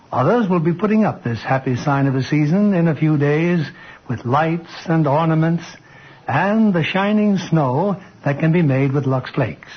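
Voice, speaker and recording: A man's voice: fairly high pitch, breathy edge. A man hosting and narrating a radio play in scripted 1940s announcer style. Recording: muffled vintage radio broadcast, music behind.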